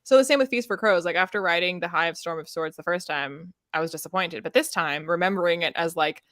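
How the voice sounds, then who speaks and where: A woman's voice: lowish voice, fast talker, a bit bright, dry recording, studio quality. Casual, sweary woman chatting about books. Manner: frequent "like", sweary, acts out imagined reactions in quotes. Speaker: host of a book readalong discussion. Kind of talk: casual book chat, animated opinions. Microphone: close microphone on a live video chat.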